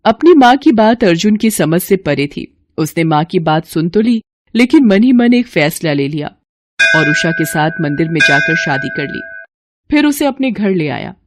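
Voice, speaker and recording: A woman's voice: on the low side, very animated, normal speed. A woman reading a scripted story narration as the narrator.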